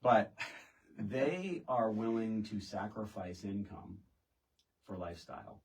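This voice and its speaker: A middle-aged American man with a very low voice talks as a guest in a casual conversation. He explains in a halting, rambling way with asides, sitting some way off the microphone. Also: lively intonation, creaky. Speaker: podcast guest, realtor. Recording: distant microphone.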